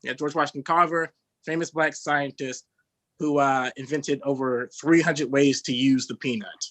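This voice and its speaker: A young man from Texas doing a stand-up set, halting and filled with "uh" and "um". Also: medium pitch, steady tone, fairly bright tone, dry recording. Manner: halting delivery with many fillers. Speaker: stand-up comedian.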